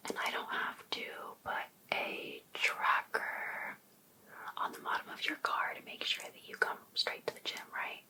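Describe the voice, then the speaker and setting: A woman's voice: unhurried, pushed and bright. A woman playing a sweetly pushy, possessive female life coach in an ASMR roleplay, coaxing one client in a whisper. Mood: creepily eager.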